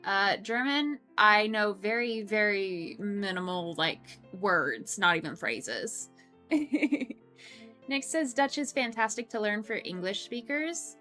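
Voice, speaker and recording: A woman's voice: medium pitch, lively intonation, slow pace, sharp tone. A young woman hosting a casual coworking stream, reading viewer comments aloud, chatty with frequent laughs and ums. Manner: chatty, laughs often, many ums and restarts. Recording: faint background music. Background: faint music.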